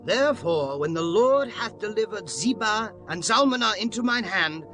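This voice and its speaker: An actor playing a stern, commanding war leader in a dramatized scripture reading, with declamatory, archaic speech. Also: male voice, high voice, lively intonation, moderate pace, bright, forceful tone, faint music behind. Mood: threatening.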